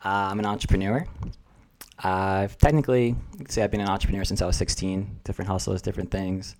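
A young man with a fairly low voice speaks in a relaxed, meandering way. He is a guest on a podcast, full of "like" and "um" as he thinks aloud about life. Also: quick pace, slight background noise. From New Jersey. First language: Russian. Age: 20s. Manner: reflective, rambling, filler-heavy. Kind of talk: casual chat.

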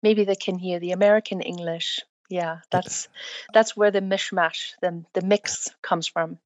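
A woman's voice: lowish voice, steady tone, measured pace, dry recording, highs cut off. A woman from southern Germany with a German accent, a guest author speaking English in a friendly, hesitant way in an interview.

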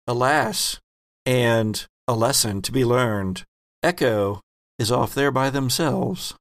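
Man, game master, gravelly, dry close sound, measured rule explanations. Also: medium pitch, a bit bright, no echo. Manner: measured, explains rules and rulings. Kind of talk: running a tabletop game.

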